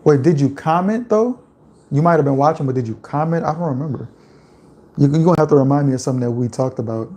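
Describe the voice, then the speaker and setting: Louisiana guy on a livestream, laid back and rambly, lots of "like", warm mellow tone. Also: a little high, fast. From Louisiana, USA. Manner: relaxed, chatty, rambling with many "like" fillers. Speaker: livestream host. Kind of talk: casual livestream chat reading viewer comments.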